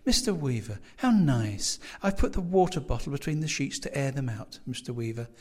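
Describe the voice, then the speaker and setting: A man's voice: medium pitch, expressive, normal speed, lightly acted. A man voicing a dotty, overly sweet older landlady who gushes and fusses over her guest. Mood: fussy. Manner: gushing, fussy, coaxing.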